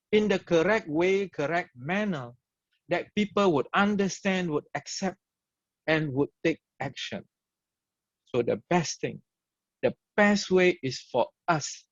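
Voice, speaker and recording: Slow, emphatic Malaysian man hosting. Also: on the high side, no echo. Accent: Malaysian English. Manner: measured, emphatic, speaks in short repeated phrases. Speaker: event host and organizer. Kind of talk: opening speech at an online event. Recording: dull, lo-fi livestream audio.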